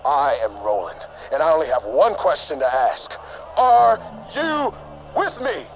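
An actor playing an earnest, resolute military leader with a commanding, solemn way of speaking, in a video game. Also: male voice, a little high, sing-song, a little slow, very noisy. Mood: rousing. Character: earnest, resolute male military resistance leader rallying soldiers. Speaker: game character. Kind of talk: scripted speech in a video game audio log. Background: music.

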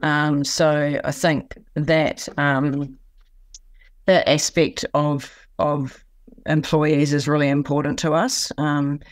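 A woman with a New Zealand accent, a dairy farmer giving considered interview answers with pauses and fillers. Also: deep voice, little pitch movement, no room sound. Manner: thoughtful, pausing with um and you know.

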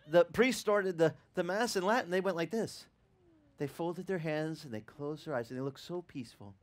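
Animated pastor preaching on a beach. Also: male voice, a little high. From Italian-American upbringing. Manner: animated, conversational preaching with restarts and asides to the audience. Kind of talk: outdoor sermon. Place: open-air beach tent service. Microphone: headset mic.

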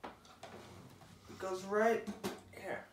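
Slow-talking teen boy unboxing a toy. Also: high-pitched, level tone, background noise. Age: teens. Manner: slow, halting, describes each step aloud. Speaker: unboxing host. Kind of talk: casual unboxing narration. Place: living room, seated at a table. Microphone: camera across the table. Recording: roomy, camera some distance off.

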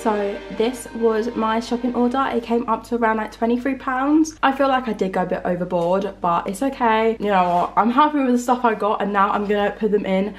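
A woman's voice: on the high side, fairly quick, hardly any pauses, faint music behind. A young woman chatting casually to camera at home, bubbly and gushing, with lots of "like". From England. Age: young adult. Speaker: lifestyle vlogger. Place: small home bedroom and kitchen.

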